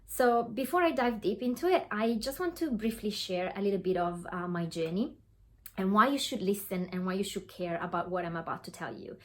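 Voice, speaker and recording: A woman's voice: medium pitch, brisk. A woman in her thirties from Italy with an Italian accent, talking straight to her phone camera in an earnest way, with many fillers and restarts. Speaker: online business coach. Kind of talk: direct-to-camera webinar talk. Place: home room.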